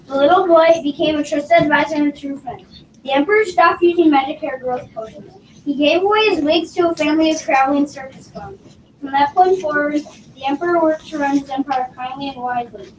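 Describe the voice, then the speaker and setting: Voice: high-pitched, brisk. A young child reading a script aloud carefully, as a student performer in a school reader's theater. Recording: echoey noisy room, distant microphone.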